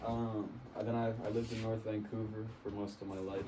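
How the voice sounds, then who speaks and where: A man from Canada introduces himself quietly and offhandedly in a low voice. He is away from the mic, and the room is noisy. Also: flat delivery, a little fast, recorded from a distance. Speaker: workshop participant. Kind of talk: casual introduction.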